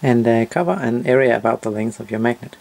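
Male, creaky voice, medium pitch, step-by-step tutorial narration, plain and matter-of-fact. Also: quick pace, a little mellow. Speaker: DIY tutorial presenter.

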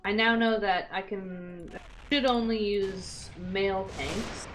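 A woman's voice: mid-range voice, takes it slowly, a little hiss or hum. A young woman talking casually as a co-player in a game, with a playful, pleading, teasing manner. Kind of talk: casual gameplay chat. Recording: faint game gunfire behind. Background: gunfire from a war game.

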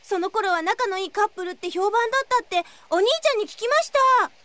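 A woman's voice: very high-pitched, fast, sharp tone. A woman playing an impetuous, girlish teenage schoolgirl in an anime audio drama, eager for romance and childishly petulant. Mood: petulant, upset. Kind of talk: anime radio drama acting.

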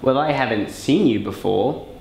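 A man's voice: mid-range voice, animated, normal speed, creaky, a little mellow. A young man acting in an amateur short film, talking in a sarcastic, exasperated way in a school hallway. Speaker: student actor. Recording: echoey hallway with faint hiss.

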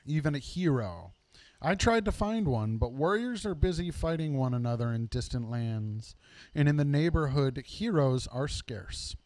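A man voicing a wise old wizard in a storybook, speaking in a measured, declarative way. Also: mid-range voice, a little mellow, dry recording.

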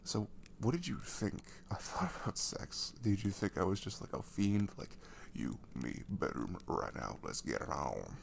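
Casting: male actor as tender, soothing young boyfriend for ASMR audio, gravelly low-end voice, faint background noise. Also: huge pitch swings, normal speed, hardly any pauses, exaggerated voice. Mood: earnest, casual. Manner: hesitant, gentle, soothing, with soft chuckles. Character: caring, reassuring young boyfriend comforting his nervous girlfriend.

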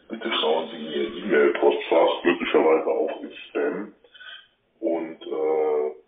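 A man speaking formally and haltingly as a debt collector leaving a stern payment demand by phone. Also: very deep voice. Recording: echoey narrowband phone message.

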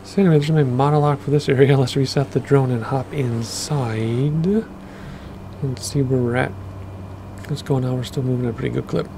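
A man, rambling casually through gameplay commentary with lots of fillers, his voice a bit hoarse from losing it. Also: medium pitch, steady tone, moderate pace, background noise. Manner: rambling, chatty, with many fillers. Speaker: flight sim gaming host.

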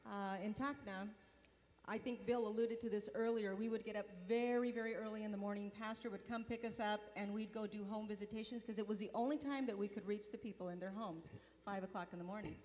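A middle-aged woman on a convention stage telling a mission testimony into a handheld mic, animated and gesturing. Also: medium pitch, a little fast. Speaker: mission volunteer and board member. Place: large convention hall stage. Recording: long hall reverb.

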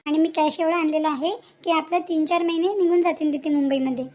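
A woman's voice: extremely high pitch, steady tone, fast, a little mellow. A woman voicing a dreamy, plaintive young village woman in a cartoon, with a Vidarbha Marathi accent. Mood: earnest. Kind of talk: amateur cartoon dialogue.

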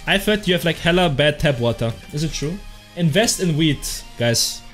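A young man from Germany with a heavy German accent, excitably chatting with his stream chat while playing, swearing and laughing freely. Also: on the high side, normal speed, faint music behind. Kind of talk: casual live game commentary, reading chat. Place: small room at home.

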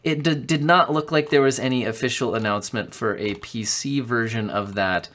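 A man's voice: mid-range voice, a bit bright. Chatty young man reading tech news. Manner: chatty, filler-heavy, quick bursts of disbelief. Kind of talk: casual news commentary reading from articles. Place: small room at home, indoors. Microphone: studio condenser mic off camera.

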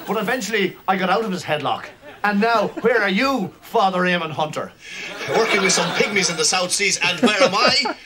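A self-satisfied priest, pompous and eager to settle old scores. His voice sits high and swings widely, with hiss and noise behind it from a TV clip. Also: animated, fairly quick. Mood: gloating. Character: vain middle-aged Irish priest, scheming and self-pleased. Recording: sitcom audio with noisy background.

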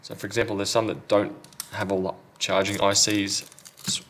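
A man's voice: on the low side, flat delivery, moderate pace, fairly bright tone, slight background noise. A man talking casually and matter-of-factly through a hands-on repair tutorial, step by step, as a technician working at a bench. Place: indoor repair workbench.

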